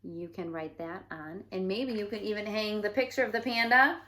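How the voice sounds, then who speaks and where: A woman teacher speaking to children, reading aloud and giving instructions in a deliberate, expressive way. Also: medium pitch. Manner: deliberate, expressive storytelling delivery.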